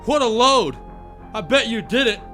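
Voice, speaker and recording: Man playing a very high-pitched jerk soldier. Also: expressive, normal speed, bright, forceful tone, soft background music, heavily acted. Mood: outraged. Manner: brash, outraged. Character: ignorant, accusatory jerk of a male soldier.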